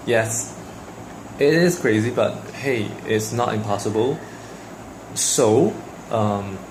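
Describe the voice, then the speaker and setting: A young Malaysian man, Mandarin his first language, speaks slowly and haltingly in a casual personal vlog, his voice sitting a bit low. He pauses often and restarts his sentences. A steady air-conditioner hum runs clearly under his voice in an indoor room. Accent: Malaysian English. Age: young adult. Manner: hesitant, many fillers and restarts. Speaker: vlogger.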